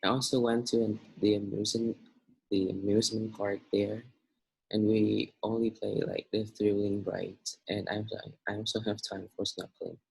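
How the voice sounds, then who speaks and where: A man with a Vietnamese accent speaks as a student presenting to class. His voice is fairly low and creaky, with a flat, even melody and a rough, husky edge. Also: moderate pace, dry recording, poor audio. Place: online video class.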